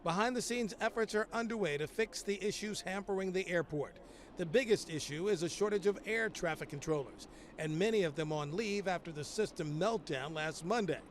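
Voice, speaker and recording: A man's voice: high voice, fairly quick. An American man reporting for broadcast news as a field reporter outdoors at an airport. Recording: clear outdoor airport and traffic noise.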